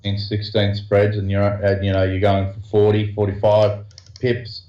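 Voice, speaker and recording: A man as a guest in a casual trading chat, explaining slowly and hesitantly with many restarts. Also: lowish voice, completely flat delivery, mellow. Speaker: guest trader. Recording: echoey, dull lo-fi sound.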